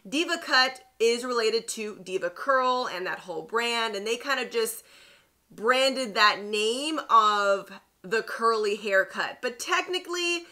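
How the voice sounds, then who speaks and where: A woman in her thirties talks straight to camera in a chatty, emphatic vlog. Her voice sits a little high and sounds bright and forceful. She is recorded cleanly in a plain indoor studio. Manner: chatty, emphatic, lively asides. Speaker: beauty vlogger. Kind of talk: casual advice vlog to camera.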